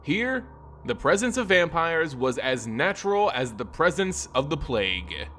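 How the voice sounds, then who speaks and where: A man giving a dramatic, scripted narration of a dark fantasy story in a solemn, theatrical way with deliberate phrasing. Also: fairly high pitch, big pitch swings, slight background noise.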